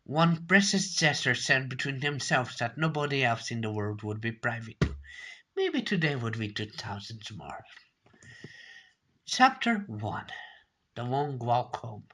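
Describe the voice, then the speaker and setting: A man's voice: medium pitch, sing-song, a little slow, bright, forceful tone. A man reading a story aloud as an amateur narrator, dramatically, often stumbling and repeating words.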